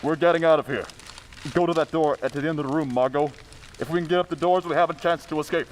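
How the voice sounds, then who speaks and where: A man's voice: fairly high pitch, fairly even intonation, fast, slight background noise, natural voice. An actor playing an authoritative male crime-fighting vigilante in an old-time radio drama. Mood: urgent.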